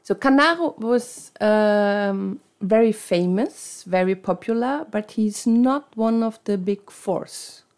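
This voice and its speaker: A woman co-hosting a podcast who speaks very slowly. Her voice sits at a middle pitch, and she steers the chat with questions. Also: a little mellow. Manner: unhurried, prompting questions. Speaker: podcast co-host. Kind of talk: casual chat and episode sign-off.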